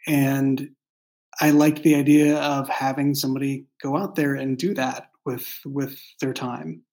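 A man's voice: mid-range voice, darkish tone. A man talking thoughtfully as an interview guest, often searching for his words. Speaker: podcast guest, writer and creator. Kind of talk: casual, thoughtful interview talk.

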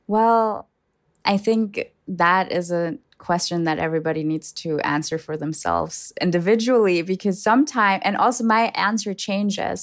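A young woman poet who grew up in Germany, with German as her first language, speaking in a measured, thoughtful way and pausing to search for words. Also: sits low, dry recording, studio quality. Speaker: poet guest. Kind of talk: reflective conversation.

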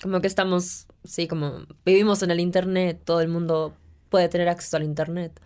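A woman's voice: on the low side, level tone, brisk, darkish tone. A woman guest in a podcast studio, chatting playfully, laughing often and slipping in English words. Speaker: guest artist. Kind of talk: casual interview chat.

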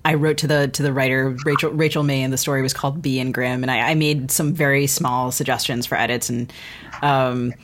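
A woman co-host speaks in an effusive, conversational way during an interview. Her voice sits very low for a woman. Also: fairly even intonation, brisk, background noise. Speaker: podcast co-host.

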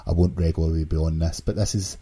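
A man's voice: very deep voice, level tone, quick pace, warm tone, breathy edge. A man hosting a casual group podcast with jokey banter. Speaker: podcast host.

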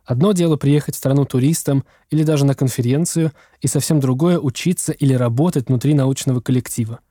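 A man's voice: medium pitch, fast talker, mellow, no room sound, clean studio sound. A man asking formal, prepared interview questions as host.

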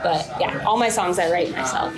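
A woman's voice: lowish voice, animated, moderate pace, loud music behind the voice, poor audio. A woman rapper being interviewed, talking casually with lots of fillers and restarts. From Canada. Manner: chatty, many fillers and restarts. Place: downtown coffee shop. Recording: busy coffee shop chatter behind.

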